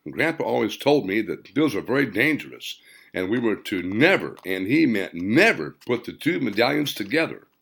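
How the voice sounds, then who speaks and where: A man voicing a grave man in an audiobook, halting and emphatic as he gives warning. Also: fairly low pitch, sing-song, vocal fry, a bit bright, heavily acted. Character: grave man recalling his grandfather's warning. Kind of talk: audiobook character dialogue.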